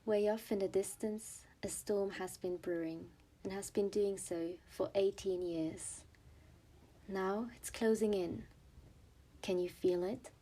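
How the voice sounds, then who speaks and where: A woman performs as an ominous storybook narrator. Her voice sits at a middling pitch, delivering scripted, atmospheric narration for an audio drama. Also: fairly even intonation, takes it slowly, warm tone, low-quality recording. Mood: ominous, hushed. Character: ominous storybook narrator warning of a coming storm.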